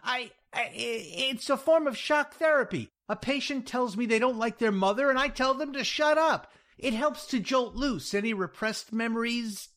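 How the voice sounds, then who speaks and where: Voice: medium pitch, big pitch swings, takes it slowly, hardly any pauses, a bit bright, voice pushed up, heavily acted. A narrator voicing a flustered man who is bluffing as a therapist in a comic story, stammering and desperate. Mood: panicked. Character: panicky, flustered male fake therapist.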